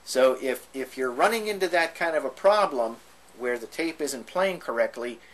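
A man's voice: mid-range voice, expressive, normal speed, fairly bright tone, poor audio. A man giving an informal hands-on equipment tutorial, explaining each step as he goes. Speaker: demonstrator of vintage tape equipment. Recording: background noise on home video.

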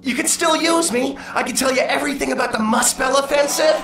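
High-voiced nervy soldier. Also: male voice, fairly quick, recorded from a distance. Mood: pleading. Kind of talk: video game cutscene acting. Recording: roomy, loud music behind.

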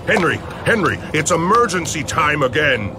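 A man voicing an excitable, theatrical male politician in a comic-book drama, drawing out his words. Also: fairly high pitch, moderate pace. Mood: panicked. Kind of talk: exaggerated comic-book character acting. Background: music.

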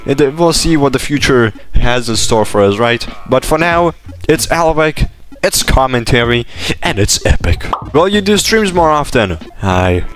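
A young man from Lithuania, a native Lithuanian speaker with British influence in his accent, giving casual gaming commentary in an animated, rambling way. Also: mid-range voice, a little slow. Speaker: gaming YouTuber. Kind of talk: casual Q&A commentary over gameplay. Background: background music.

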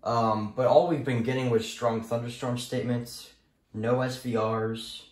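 An echoey home recording of a young man with an American accent and a mid-pitched voice. He talks casually and without a script, hesitating often and circling back over his own words as he films on a handheld phone. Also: fairly even intonation, unhurried. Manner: hesitant, rambling, repeats himself. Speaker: hobbyist video maker. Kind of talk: casual unscripted show-and-tell. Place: small indoor room at home. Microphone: phone camera held by speaker. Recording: echoey room, handheld camera.

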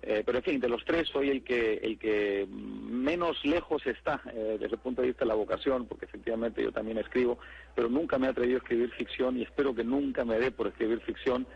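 A man with a Lima Spanish accent and a husky, gravelly voice, answering interview questions in a measured way with "eh" fillers. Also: medium pitch, muffled recording. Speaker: interviewed writer.

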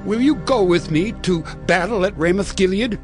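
A man's voice: high voice, lively intonation, normal speed, soft background music. A man playing an imperious, resentful king in a dramatized audio Bible, speaking in a commanding, theatrical way. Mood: rousing, urging.

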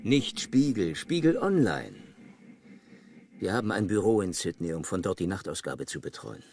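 Cocky journalist, low, swooping voice. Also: male voice, very animated, moderate pace, creaky, darkish tone, heavily acted. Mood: self-assured, demanding. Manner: sardonic, self-important. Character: cocky male online news journalist, sarcastic, pushy. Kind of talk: radio crime drama acting.

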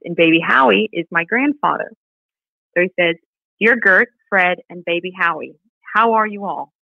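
A woman's voice: fairly low pitch, no echo. A woman speaking as an interview guest in a conversational storytelling style, over a remote call. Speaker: podcast guest, nonprofit director. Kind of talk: conversational interview, reading a family letter. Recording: muffled, band-limited remote call audio.

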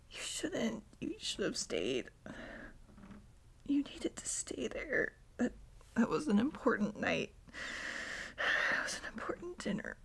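Insecure girlfriend , played by a woman, ASMR audio drama. Tearful, faltering, self-deprecating; creaky voice with big pitch swings. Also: full character voice. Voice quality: breathy, whispery. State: crying, sniffly. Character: tearful, insecure young girlfriend seeking comfort.

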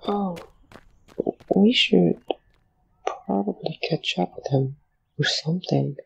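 A woman voicing a timid, gloomy young ghost in an audio drama, soft and hesitant, stammering and trailing off. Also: on the low side, slow, gravelly, breathy edge, lo-fi sound, heavily acted. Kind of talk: fan audio drama acting.

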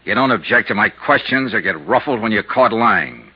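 An actor playing a tough, persistent insurance investigator in a 1950s radio drama, with a blunt, pressing, hard-boiled delivery. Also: male voice, fairly low pitch, animated, normal speed, slightly rough. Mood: stern, accusing. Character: tough, persistent male insurance investigator narrating his case. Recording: muffled vintage 1950s radio recording.

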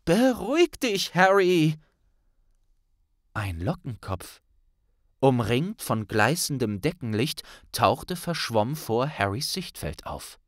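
Slow, animated male audiobook narrator. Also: mid-range voice, dry recording, clean studio sound. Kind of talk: dramatic scripted fiction narration.

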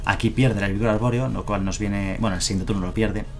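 A man's voice: lowish voice, fairly even intonation, brisk, soft background music. A man in his thirties with a Madrid Spanish accent, casually thinking aloud while streaming a card game on a headset mic. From Madrid, Spain. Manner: casual, thinking aloud, self-deprecating chuckles. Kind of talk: live gameplay commentary. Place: home streaming room, indoors.

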